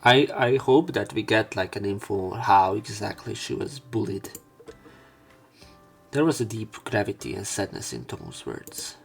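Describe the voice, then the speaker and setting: Male let's play narrator, low voice, creaky fry, reading story text aloud, faint music behind. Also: animated, normal speed. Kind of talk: reading visual novel text aloud with asides.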